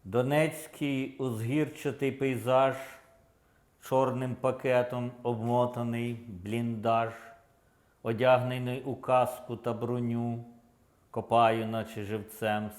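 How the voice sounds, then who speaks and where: A native Ukrainian man recites rhymed verse at a live poetry reading. His delivery follows the rhythm of the lines at a middling pitch, and the room adds a clear echo around his voice. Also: darkish tone. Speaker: poet reading translated verse aloud. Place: public poetry reading at a museum.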